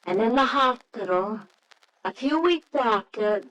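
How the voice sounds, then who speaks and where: An actress playing an earnest, anxious young American wife in an old radio drama, hesitant and tender. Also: female voice, a little high, lively intonation, unhurried, fairly bright tone. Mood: wistful, reflective. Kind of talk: old-time radio drama acting with narration. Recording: faintly noisy old radio recording.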